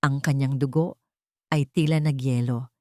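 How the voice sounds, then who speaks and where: A woman's voice: a bass voice, steady tone, unhurried, a little mellow, dry recording, studio quality. A woman reading a scripted story as an audiobook narrator, in a dramatic storytelling style.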